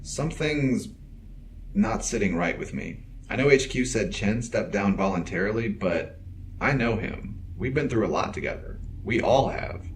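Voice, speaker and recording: Low male android, earnest and literal, measured formal diction, sci-fi audio drama, faint noise behind. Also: lively intonation. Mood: concerned, guarded. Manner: precise, formal, measured wording. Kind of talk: science fiction audio drama acting.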